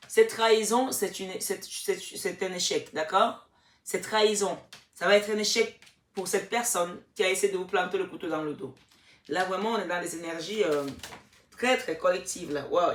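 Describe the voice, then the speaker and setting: A woman's voice: medium pitch, far from the mic. A woman hosting a casual tarot card reading, talking straight to her viewers with lots of fillers and restarts. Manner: chatty, many fillers and restarts, addresses viewers directly. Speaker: tarot reader and channel host. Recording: echoey room, voice from off the mic.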